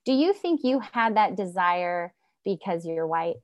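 A woman guest on a podcast who explains her home education program in a measured, earnest way. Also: lowish voice, big pitch swings, no room sound. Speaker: guest, homeschool program leader. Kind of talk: casual interview chat.

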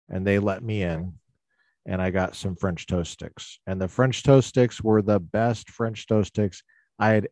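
Podcast host, male, low voice, dry close sound, animated storyteller who stumbles and restarts. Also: no room sound. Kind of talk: casual storytelling in conversation.

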